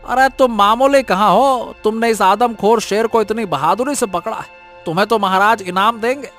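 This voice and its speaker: A man's voice: high voice, normal speed, a bit bright, soft background music. A man playing an eager, admiring common man in a moral-story cartoon. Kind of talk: animated Hindi moral-story dramatization.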